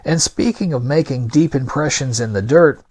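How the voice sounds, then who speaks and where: A man hosting a podcast with scripted narration, delivered in a deliberate, emphatic, argumentative manner. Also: medium pitch, a little mellow. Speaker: podcast host.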